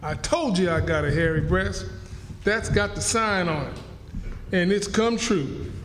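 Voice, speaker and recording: Radio drama part: man as superstitious Southern fellow, triumphant, repetitive and emphatic, high pitch, very roomy sound. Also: lively intonation, measured pace. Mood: triumphant, insistent. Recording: echoey hall stage, faint noise.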